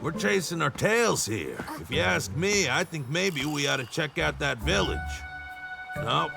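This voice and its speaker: A man's voice: a little high, sing-song, a little slow, gravelly, music in the background, heavily acted. A man playing an easygoing older rider in a game cutscene, plain-spoken, folksy and blunt. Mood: easygoing, musing.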